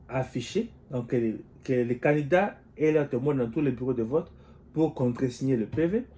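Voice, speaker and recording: Mellow-voiced man weighing politics aloud. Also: medium pitch. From DR Congo. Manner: measured, thinking aloud with rhetorical questions. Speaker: political commentator. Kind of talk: solo political commentary. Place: living in the United States.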